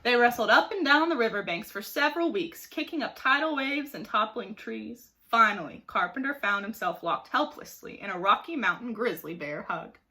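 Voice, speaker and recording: Bright, very animated woman storyteller. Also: a little high, moderate pace, hardly any pauses, rough recording. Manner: expressive, dramatic emphasis. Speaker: teacher reading aloud. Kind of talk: animated storybook narration for children.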